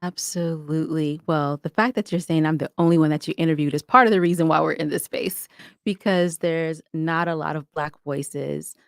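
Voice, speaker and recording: A woman's voice: deep voice, normal speed, vocal fry, clear, resonant tone, no room sound, clean studio sound. A woman giving talkative, explanatory answers as a guest in a conversational podcast interview. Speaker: podcast guest, audio drama creator.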